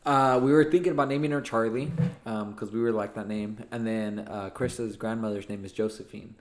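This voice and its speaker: A man's voice: fairly low pitch, fairly even intonation, fairly quick. A young man hosting a casual podcast chat, upbeat and teasing, laughing often with his co-hosts. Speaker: podcast host.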